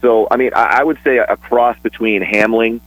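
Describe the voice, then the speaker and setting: A man talking casually on a radio sports show, chatty and a bit rambling, with restarts and quick laughs. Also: medium pitch, fairly even intonation, no echo, rough recording. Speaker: sports broadcaster guest.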